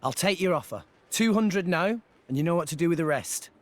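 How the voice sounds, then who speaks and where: Man voicing young salvager, eager, high lively voice, faint score. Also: fairly quick, no echo, lightly acted. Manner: energetic, eager. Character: cheerful young male salvager. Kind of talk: video game voice acting.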